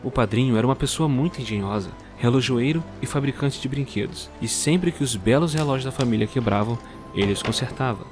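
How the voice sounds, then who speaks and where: A man narrating a scripted storybook tale for an audio drama in a measured storyteller's manner. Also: medium pitch, soft background music.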